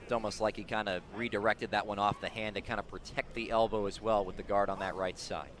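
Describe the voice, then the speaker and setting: A man's voice: fairly low pitch, flat delivery, fast, a bit bright, a little hiss or hum. A man doing live baseball play-by-play on the radio from the ballpark, in clipped calls that rise with the action. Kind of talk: live sports radio commentary. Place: outdoors at a ballpark.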